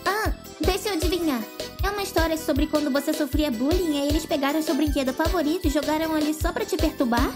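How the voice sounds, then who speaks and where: A woman's voice: high voice, quick pace, over music, natural voice. A woman voicing a naive, chatty young girl with a Brazilian accent in a comedic cartoon fan dub.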